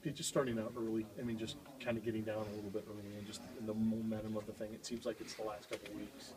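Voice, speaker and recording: A man's voice: fairly low pitch, flat delivery, racing, distant mic, poor audio. A man working as a sports reporter, asking an informal, loosely worded press question. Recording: off-mic voice amid crowd noise.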